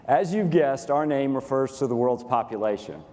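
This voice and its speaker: A man with a Texas accent, a company COO walking through a software demo onstage in a dry, matter-of-fact, methodical way. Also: mid-range voice, lively intonation, normal speed. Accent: Texan. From Texas, USA. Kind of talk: staged product demo presentation. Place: conference stage in a large hall. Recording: reverberant conference hall.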